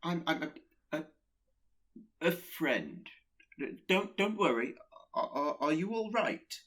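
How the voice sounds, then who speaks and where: A man's voice: on the high side, big pitch swings, slow pace, a bit bright, heavily acted. An actor in a radio play playing a nervous, awkward Pestilence who hesitates and stammers as he secretly admires catastrophe. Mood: nervous, reassuring.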